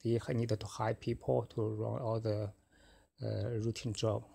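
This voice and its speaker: A middle-aged man whose first language is Chinese, talking casually and haltingly about his trading, with many fillers and restarts. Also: fairly low pitch, level tone, vocal fry, mellow, slightly rough. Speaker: forex trader. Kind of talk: casual screen-recorded trading talk.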